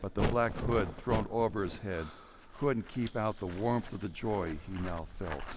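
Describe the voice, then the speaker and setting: A man's voice: on the low side, a little slow, noisy background. A man narrating an audio drama, reading a scripted story in a dramatic storytelling style. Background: music.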